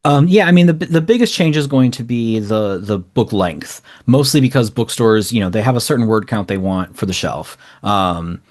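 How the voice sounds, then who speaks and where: A man's voice: on the low side, normal speed, hardly any pauses, darkish tone. A man giving casual, explanatory interview answers in long, rambling, informal runs as a guest. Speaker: interview guest, small indie publisher.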